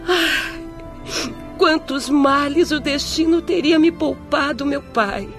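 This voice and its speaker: A woman's voice: sits high, takes it slowly, over music. A woman playing a frail, timid young daughter in a radio drama, plaintive, pleading and tearful. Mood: sorrowful longing. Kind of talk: melodramatic radio drama acting.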